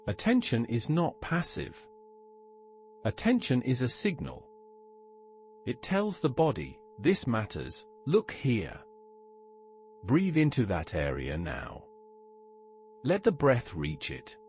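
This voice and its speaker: A man narrating a scripted guided meditation in a measured, instructive way, in short phrases with pauses. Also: mid-range voice. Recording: steady ambient hum tone behind. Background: sustained ambient tone.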